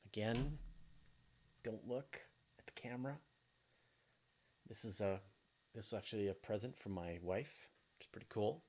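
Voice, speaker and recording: A man's voice: lowish voice, normal speed, pauses often, a little mellow. A man hosting a casual live question and answer session, talking informally and thinking aloud in broken phrases. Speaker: host of a space news channel.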